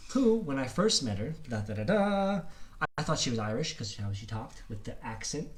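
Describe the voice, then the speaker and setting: Male podcast host in an echoey room. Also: medium pitch. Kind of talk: casual filmed podcast conversation. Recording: roomy, echoing sound.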